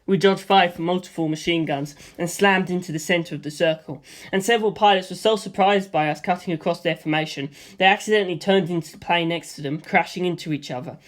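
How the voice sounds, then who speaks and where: A man reading a first-person action story aloud in a lively way, voicing gunfire sound effects himself. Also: high voice, fast talker. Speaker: audiobook reader.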